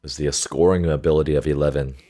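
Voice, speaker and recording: A man's voice: rumbling low, fairly even intonation, fast, dark tone, soft background music. A man hosting a reaction video, thinking aloud in casual commentary into a close desktop microphone. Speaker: reaction video host, volleyball coach. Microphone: close desktop USB microphone.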